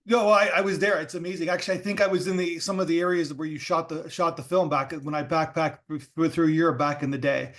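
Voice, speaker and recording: Rambling, higher-voiced male podcast interviewer. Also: fairly even intonation, quick pace, hardly any pauses, fairly bright tone. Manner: rambling questions with many restarts. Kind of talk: casual interview chat.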